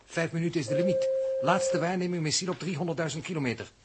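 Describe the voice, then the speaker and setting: An actor playing a precise, matter-of-fact spaceship navigator with an urgent edge in a 1960s Dutch radio drama. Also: male voice, on the high side, fast talker, natural voice. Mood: urgent, factual. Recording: noisy old radio drama recording.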